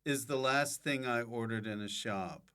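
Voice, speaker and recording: A man's voice: mid-range voice, moderate pace, background noise. A man hosting a casual comedy interview as a podcast host.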